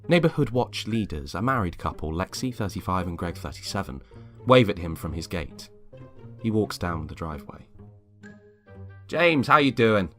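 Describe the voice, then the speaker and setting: A man's voice: deep voice, lively intonation, moderate pace, faint music behind. A man narrating a script, reading out scenes and stage action.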